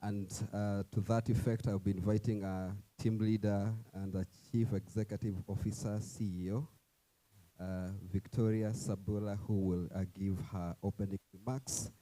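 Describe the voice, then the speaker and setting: A man's voice: lowish voice, level tone, dark tone, no room sound, low-quality recording. Man emceeing a conference. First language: not English. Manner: chatty, with fillers, drawn-out introductions. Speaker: event moderator. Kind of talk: emcee introductions.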